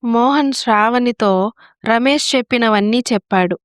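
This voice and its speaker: A woman's voice: on the high side, moderate pace, clean tone, no echo, studio quality. A woman narrator telling a scripted moral story.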